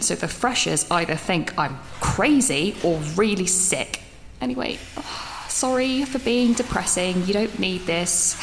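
Picture a fretful young student, played by a woman, her pitch rising and falling as she rambles and restarts. She starts close to a whisper. Her voice rings off the hard walls of a small toilet, with faint sounds behind her. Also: big-room sound, slight background noise. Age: young adult. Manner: rambling, halting, with many restarts; whispering at first. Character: anxious, rambling young female student, second year. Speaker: university student leaving a voice note. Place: small echoey toilet room.

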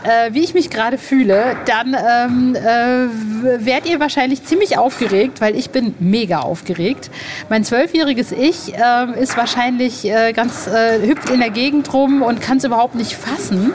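A woman's voice: a little high, darkish tone, a little hiss or hum. A woman in her forties hosting a podcast in a casual, chatty way, with colloquial, exclamatory phrasing. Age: 40s. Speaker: podcast host.

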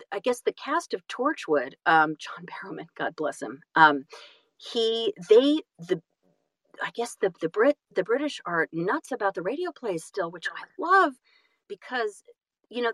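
A woman's voice: mid-range voice, a bit bright, dry recording, poor audio. A woman telling stories casually as an interview guest, self-deprecating and emphatic in manner. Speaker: guest, audiobook narrator and actress.

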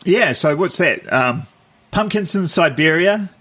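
A man co-hosting a podcast, telling stories in a relaxed way and laughing often. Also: a little high, a little slow, husky. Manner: easygoing storyteller, laughs often. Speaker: podcast co-host. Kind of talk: casual chat.

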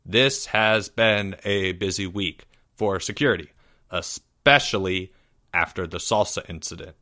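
A man's voice: deep voice, bright, forceful tone, no echo, clean studio sound, voice pushed down. Man playing a pompous, solemn schoolboy security chief. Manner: grave, pompous, deliberate. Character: solemn, self-important schoolboy head of security.

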